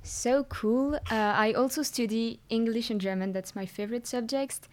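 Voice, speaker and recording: A woman's voice: a little high, moderate pace. A nineteen-year-old woman from France, a student who speaks hesitantly with fillers. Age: teens. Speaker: student guest.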